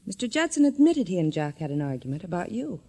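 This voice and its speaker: A woman playing a sharp, caring detective's assistant in a 1940s radio drama, brisk, observant and straightforward. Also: lowish voice, sing-song. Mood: firm.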